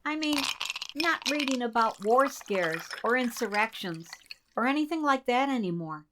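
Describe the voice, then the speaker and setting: A woman's voice: a little high, expressive, normal speed, background noise, close to an everyday voice. A woman in a community radio play, playing an earnest assistant code breaker in a conversational way. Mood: musing. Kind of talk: community theater radio drama dialogue.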